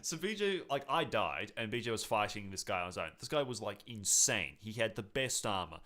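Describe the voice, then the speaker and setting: A man co-hosting a podcast, chatting casually and telling gaming stories in an animated, emphatic, rambling way. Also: lowish voice, fairly quick. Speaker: podcast co-host. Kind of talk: casual chat about multiplayer games.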